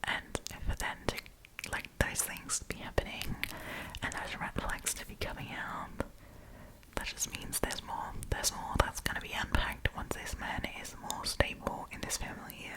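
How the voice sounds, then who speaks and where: A woman telling stories in a breathy ASMR whisper, with chatty, playful, slangy commentary. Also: normal speed, low-quality recording. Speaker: ASMR storytime host.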